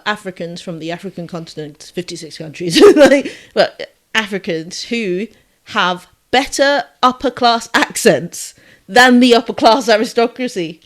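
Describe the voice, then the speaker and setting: A woman born in Jamaica and raised in the south of England, with a southern English accent, chatting casually and thinking aloud with restarts. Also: medium pitch. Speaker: podcast co-host. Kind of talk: casual conversational chat.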